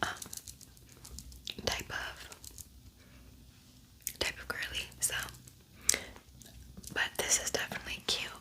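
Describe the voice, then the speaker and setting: A woman's voice: slow pace, long pauses. Whispering woman doing casual ASMR. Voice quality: breathy, unvoiced whisper. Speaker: ASMR beauty vlogger. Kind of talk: whispered ASMR makeup tutorial, casual chat.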